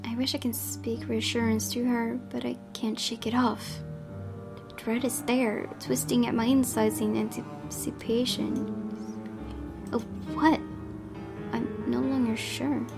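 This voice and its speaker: A woman gaming host reading horror visual novel narration aloud with dramatic emphasis, often dropping into hushed, breathy tones. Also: on the high side, little pitch movement, unhurried. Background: game music.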